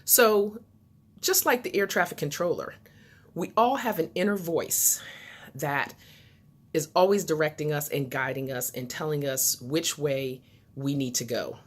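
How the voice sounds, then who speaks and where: A woman with a low-pitched voice delivers a motivational talk as the host of her own channel. She speaks directly to the viewer in a deliberate, instructive manner, leaning on key words to make each point.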